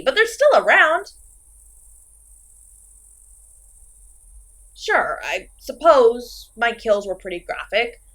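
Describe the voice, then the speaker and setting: A woman's voice: sits high, pushed and bright, heavily acted. A woman playing an insecure, petulant, chatty slasher killer in a comedic horror audio roleplay, theatrical and exasperated, swinging between sulky and manic. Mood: self-pitying.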